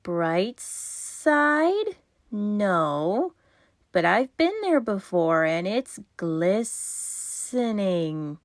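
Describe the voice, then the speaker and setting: Woman doing a slow, hissing storybook snake. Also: medium pitch, very animated, no echo, studio quality. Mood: mysterious. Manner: drawn-out, hissing speech. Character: snake in a children's storybook, male, mysterious.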